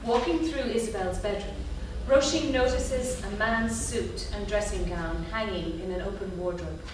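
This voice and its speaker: A woman's voice: mid-range voice, moderate pace. A woman reading scripted stage directions as the narrator of a staged reading. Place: theatre stage before an audience. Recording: echoey theatre, distant, faint noise.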